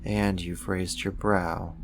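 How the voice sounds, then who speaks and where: A man acting in an ASMR roleplay as a caring, wry young supervillain roommate, speaking softly and conversationally with hesitant pauses and gentle teasing. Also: low voice, level tone, noisy background. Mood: soft, evasive.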